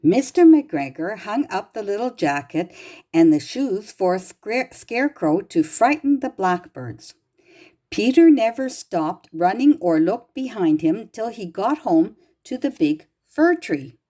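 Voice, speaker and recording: Slow, mellow woman reading a children's story. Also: medium pitch, big pitch swings. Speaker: storybook reader.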